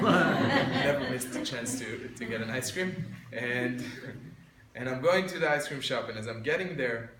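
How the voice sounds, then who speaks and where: A man's voice: mid-range voice, fairly bright tone, a little hiss or hum. Young man with many hesitations. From Israel. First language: Arabic. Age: 20s. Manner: hesitant, many ums and restarts, wry humor. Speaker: guest speaker, military officer. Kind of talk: informal presentation to students. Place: indoor room with an audience. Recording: roomy echo from a distant mic.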